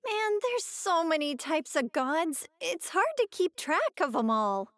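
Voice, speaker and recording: A woman's voice: sits high, big pitch swings, a little slow, a bit bright, no room sound, clean studio sound, exaggerated voice. A woman voicing a bubbly, candid young woman in a video game, chatting casually with a girlish, frank manner. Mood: bemused. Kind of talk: animated video game voice acting.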